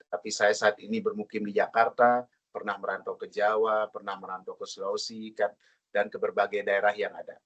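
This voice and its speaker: A man's voice: on the low side, flat delivery, a little fast, fairly bright tone, muffled recording. A man from Bali giving an earnest self-introduction for a class presentation. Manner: earnest, grateful tone. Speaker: student presenter.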